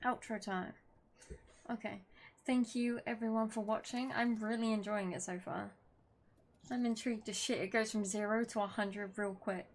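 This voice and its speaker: A woman's voice: mid-range voice, steady tone, moderate pace. A young woman playing a horror game at home, chatting casually, swearing now and then and reading the story text aloud. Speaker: gaming video creator. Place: at home with her dog.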